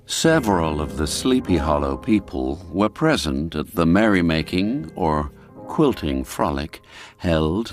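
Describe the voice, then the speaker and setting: A man reading a classic folk mystery tale as a storyteller, in a measured and expressive way. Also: extremely low pitch. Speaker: story narrator.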